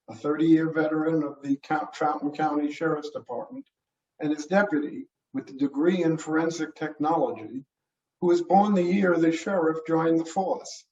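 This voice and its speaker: An older man reads his own short story as a narrator. His voice sits slightly high. The recording is roomy and somewhat muffled, as if he is away from the microphone. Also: moderate pace, a little mellow. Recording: roomy, muffled, distant mic.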